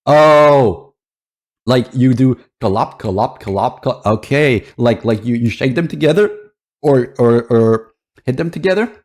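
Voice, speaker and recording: A man's voice: mid-range voice, lively intonation, unhurried, darkish tone. A man streaming a reaction to videos, talking casually, swearing and thinking aloud.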